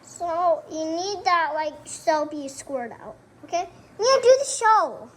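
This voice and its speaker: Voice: very high voice, animated, takes it slowly. A child chatting casually to viewers as co-host of a homemade puppet show video. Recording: noisy homemade recording.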